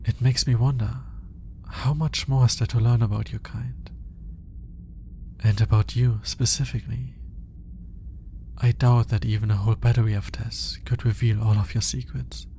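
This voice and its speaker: A man playing an earnest, smitten alien scientist in an intimate roleplay audio drama, soft-spoken and hesitant, slipping into whispers. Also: mid-range voice, fairly even intonation, moderate pace, slight background noise, close to an everyday voice. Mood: curious, earnest.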